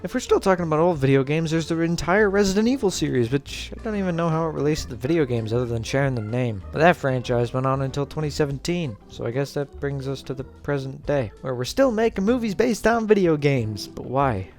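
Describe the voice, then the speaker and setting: A man with a slightly high voice delivers casual scripted commentary. He is informal and sarcastic, talking like a video essayist. Music plays faintly behind him. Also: animated, brisk, few pauses, a little mellow. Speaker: host of a video essay series. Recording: background music under voice.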